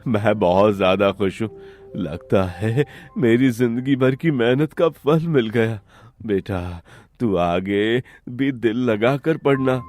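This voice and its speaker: A man's voice: lowish voice, slow pace, a little mellow, faint music behind. A man voicing a hardworking, affectionate village father who runs a roadside eatery in a cartoon story. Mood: happy, proud.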